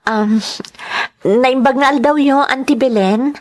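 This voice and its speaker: An actress playing a dutiful, respectful young daughter and new teacher in an Ilocano radio drama, speaking politely with a Filipino accent. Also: female voice, sits high, slow. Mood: polite, uneasy. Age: young adult.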